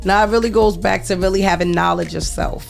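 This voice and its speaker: A woman in her forties talking in an animated, emphatic way in a casual group chat, telling stories and making points. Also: medium pitch, quick pace, creaky, loud music behind the voice. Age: 40s. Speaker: panelist.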